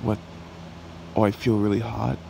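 A man playing a smitten, shy red dragon boyfriend in an ASMR roleplay, speaking in a halting, tender way. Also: lowish voice, creaky. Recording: steady background hiss or room hum.

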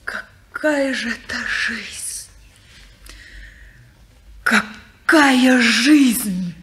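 A woman's voice: a little high, very slow, a bit bright. An actress playing an earnest, searching, headstrong young woman in a 1950s radio play, questioning and insistent. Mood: distressed.

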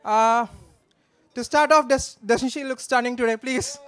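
A man gives a joking, affectionate, slightly halting wedding toast from notes into a handheld microphone. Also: extremely high pitch, steady tone, very noisy. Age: 20s. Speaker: best man, groom's brother. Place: wedding reception in a large draped marquee.

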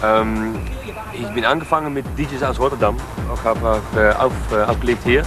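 A man's voice: medium pitch, a little fast. A young man from the southern Netherlands, with a Dutch accent, casually telling an interviewer outdoors about making music, often laughing. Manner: lively, laughing, imitates sounds, simple German. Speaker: DJ and producer. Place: outdoors by a harbor.

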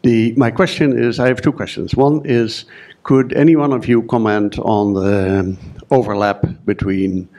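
Low-voiced older Dutch-accented man. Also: a little mellow. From Netherlands, grew up in Germany. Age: 60s or older. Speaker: audience questioner, retired health scientist. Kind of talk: formal audience question. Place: conference room audience.

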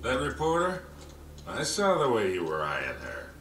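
An actor playing a gruff, wisecracking older treasure-hunting mentor in a video game, folksy and teasing. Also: male voice, mid-range voice, huge pitch swings, moderate pace, fairly bright tone, slight background noise. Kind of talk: video game cutscene acting. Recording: roomy, distant game audio with music.

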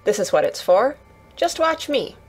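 A woman's voice: on the high side, sing-song, a little slow, slightly rough, soft background music, full character voice. A woman voicing a cheerful young Yorkshire housemaid in a storybook, chatty and eager, with homely dialect and exclamations. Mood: astonished.

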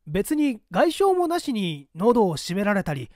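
腰が低く慌て気味のトラック運転手が丁寧に弁明する様子を演じる男性。. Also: male voice, high-pitched, very animated, darkish tone, no room sound, clean studio sound. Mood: apologetic, earnest. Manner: polite, apologetic, hurried explaining. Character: humble, flustered male truck driver giving testimony.

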